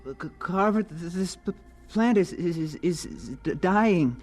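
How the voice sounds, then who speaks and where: A man's voice: high voice, lively intonation, measured pace, a little mellow, soft background music. An actor playing a timid, worried man with a heavy stutter in a radio drama.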